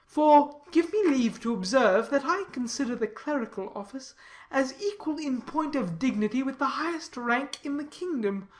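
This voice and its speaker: A woman voicing a pompous, obsequious and excitable clergyman in a dramatized classic novel, with wordy, emphatic delivery. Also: high voice, voice pushed up, natural voice.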